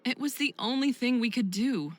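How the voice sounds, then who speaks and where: A woman's voice: a little high, lively intonation, normal speed, no echo. A woman playing a protective, serious friend and mentor in a visual novel game, speaking in an earnest, admonishing way. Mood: concerned.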